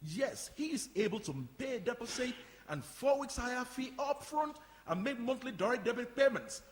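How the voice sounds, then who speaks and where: A man's voice: very high voice, animated, moderate pace. An actor playing an unbothered, matter-of-fact committee man in a stage play. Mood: defiant. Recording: reverberant live hall performance.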